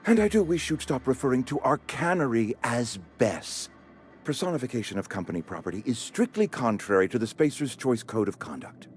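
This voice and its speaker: A man acts a pompous male outpost administrator in a video game. He is courteous yet officious, with formal, wordy phrasing. His very animated pitch swings widely around a middle register, over faint music. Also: brisk, lightly acted. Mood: stern. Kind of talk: video game character acting.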